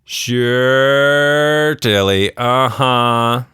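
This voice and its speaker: A man's voice: mid-range voice, extremely slow, sharp tone, smooth, no room sound, studio quality, voice pushed up. A man playing a flustered, mildly skeptical father in a children's story, hesitant and indulgent. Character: flustered, mildly skeptical suburban dad of a young girl.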